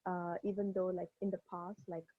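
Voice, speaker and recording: A woman's voice: lowish voice, little pitch movement, normal speed, dry recording. A young woman from Malaysia, interviewing a guest in an effusive way, full of "like" and "you know". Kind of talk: casual interview over a video call. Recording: dull dry lo-fi call audio.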